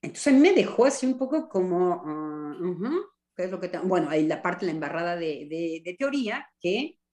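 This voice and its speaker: A woman with a Mexican accent and a somewhat low voice speaks in a relaxed group discussion. She is ironic and animated, and she often pauses with hesitant fillers as she talks. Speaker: discussion participant. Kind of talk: casual discussion among colleagues.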